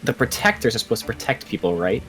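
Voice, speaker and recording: A man's voice: fairly low pitch, animated, fast, pushed and bright, soft background music. A man playing a menacing, scornful rival mage who taunts and dismisses others in a fantasy game roleplay. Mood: accusing. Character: menacing, scornful male rival guild mage, sneering bully. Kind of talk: improvised Minecraft fantasy roleplay.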